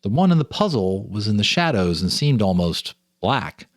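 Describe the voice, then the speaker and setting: A man's voice: lowish voice, very animated, moderate pace, no echo, clean studio sound. A man reading a ghost story aloud as a storyteller, with a theatrical, suspenseful delivery. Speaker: storyteller, host.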